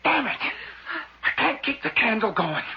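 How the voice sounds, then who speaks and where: An anxious husband, wildly swinging voice. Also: male voice, extremely high pitch, big pitch swings, creaky, full character voice. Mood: anxious, reassuring. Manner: urgent, halting, dramatic delivery. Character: husband, anxious but trying to stay calm and reassuring. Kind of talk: radio horror play acting. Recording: muffled, faintly noisy radio drama recording.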